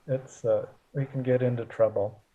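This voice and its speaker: An older man, a Zen teacher, leading a relaxed, halting group discussion over a video call. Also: mid-range voice. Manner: relaxed, halting, chuckles often. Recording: dull lo-fi call audio.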